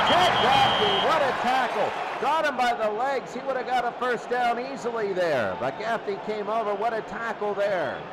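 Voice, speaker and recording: Male sports announcer, high register. Terse live football calls over clear noise. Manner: terse calls, repeating down and yardage. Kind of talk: live American football commentary. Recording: clearly noisy recording.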